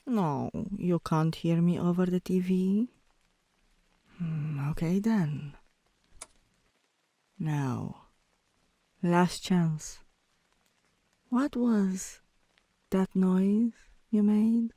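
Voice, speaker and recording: A woman voicing a teasing, softly dominant tomboy girlfriend in an intimate ASMR roleplay, with a low voice that turns breathy at times and a coaxing, commanding manner. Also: extremely slow, pauses often, no echo, heavily acted.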